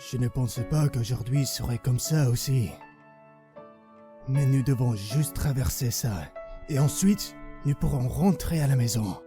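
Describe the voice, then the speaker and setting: An actor playing a tender, anxious French father figure in an audio drama, with a French accent and a gentle, coaxing manner. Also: mid-range voice, normal speed, faint music behind, close to an everyday voice. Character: tender, anxious French father-like illustrator comforting his creation.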